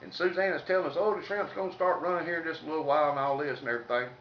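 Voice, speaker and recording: A Southern American man tells funny stories in a colloquial, country manner. His voice sits slightly high. The recording is distant and somewhat dull, with a faint noise under it. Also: very fast, reverberant. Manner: folksy, colloquial, salty. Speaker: comedic storyteller. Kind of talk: informal humorous storytelling.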